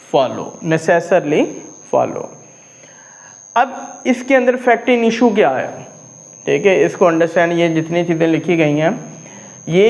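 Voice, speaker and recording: Man, Pakistani accent, lecturing on law, high voice, slow measured delivery, checks in often with the class. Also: a little mellow, rough recording. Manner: explains step by step, often checks in with "okay"; reads legal English phrases slowly and deliberately.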